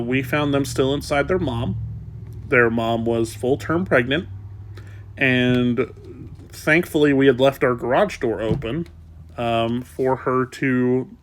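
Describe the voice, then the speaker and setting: Casual vlogger, male, congested and sniffly, soft rambling delivery, mid pitch. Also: takes it slowly, a little hiss or hum. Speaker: kitten rescuer. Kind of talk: casual vlog talk.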